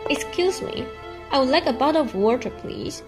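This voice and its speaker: Polite male detective acted by a young woman, highish voice, radio play, prominent music underneath. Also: lively intonation, normal speed. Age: young adult. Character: polite, composed male detective taking charge. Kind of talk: student English radio drama acting. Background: loud background music.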